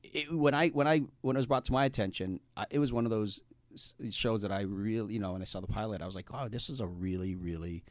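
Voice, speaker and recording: A man's voice: lowish voice, fast talker, no room sound. A young adult man hosting a casual radio talk show from a studio, rambling with lots of fillers while reading plugs.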